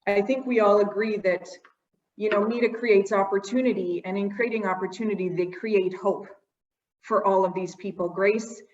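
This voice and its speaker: A woman's voice: medium pitch, fairly even intonation, normal speed, echoey room, far from the mic, muffled recording. A woman hosting an online panel, thanking the speakers and summing up what they shared. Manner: appreciative, wraps up speakers. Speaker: host.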